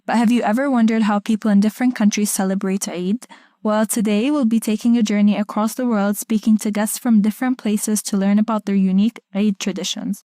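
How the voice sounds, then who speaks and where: A young woman from Egypt hosts a casual podcast conversation. Her voice is mellow and sits at a medium pitch. The recording is dry and close. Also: fairly even intonation, brisk, no echo. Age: young adult. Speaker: podcast host.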